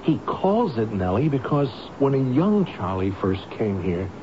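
An actor playing an earnest, anxious, kindly staffer in a radio drama, hesitant and deferential. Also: male voice, mid-range voice, very animated, unhurried. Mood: earnest, pleading. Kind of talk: old-time radio drama acting. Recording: dull, noisy radio drama recording.

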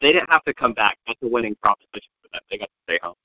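Animated man telling stories, dull sound. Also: lowish voice, rapid-fire, no room sound. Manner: animated storyteller, laughs often. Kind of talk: casual chat.

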